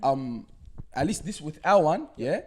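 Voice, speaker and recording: A young man with an Australian accent, hosting a casual podcast chat, quick and animated, often cutting in over others. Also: a little high. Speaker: podcast host.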